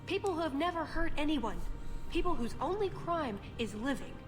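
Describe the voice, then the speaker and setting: A woman acting as an earnest, devout spy in a video game, speaking in a measured, solemn way. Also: high voice, lively intonation. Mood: impassioned. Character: earnest female elven spy agent, devout and guilt-tinged. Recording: game dialogue in reverberant hall, music behind.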